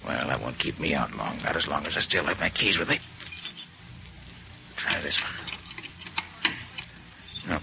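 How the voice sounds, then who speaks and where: Confident old-time radio detective, muffled recording. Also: male voice, deep voice, creaky, noisy background. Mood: musing, wary. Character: confident, shrewd male private detective. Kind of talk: 1940s radio drama acting.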